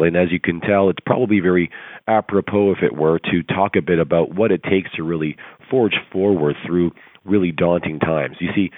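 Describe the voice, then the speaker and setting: A hoarse man with a very deep voice gives an earnest, rousing coaching talk full of rhetorical questions. He is heard over a tinny conference phone line. The sound is dry and close. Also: no room sound. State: hoarse, recovering from laryngitis. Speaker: coaching call presenter. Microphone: telephone conference call.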